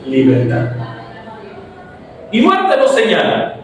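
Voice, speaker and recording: Dominican guy giving a philosophy talk, lots of questions at the crowd, high voice, noisy echoey room. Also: wildly swooping pitch, takes it slowly. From Dominican Republic. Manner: rhetorical, full of questions to the audience. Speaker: philosophy student presenter. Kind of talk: academic speech. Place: event hall before an audience. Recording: very echoey, distant mic with noise.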